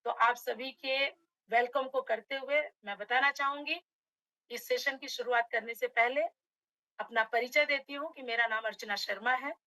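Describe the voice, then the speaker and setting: A middle-aged woman from Uttar Pradesh with a slightly hoarse throat, giving a motivational online webinar on direct selling and addressing her audience as friends. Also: fairly high pitch, fairly even intonation, normal speed, pushed and bright, no room sound, poor audio. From Uttar Pradesh, India.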